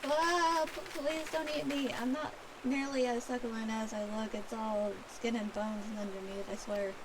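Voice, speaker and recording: A woman's voice: on the high side, steady tone, moderate pace, background noise, natural voice. A woman voicing a timid, frightened adventurer from a video game, stammering and pleading, cold and hungry. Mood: terrified, pleading.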